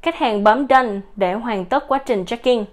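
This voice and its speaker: A woman giving a steady, explanatory voice-over for a software product demo. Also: on the high side, a little slow.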